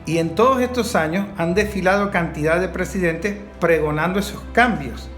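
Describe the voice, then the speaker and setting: A man with a Venezuelan accent summing up a discussion in a measured, orderly way. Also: high-pitched, fairly bright tone. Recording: echoey room, faint music.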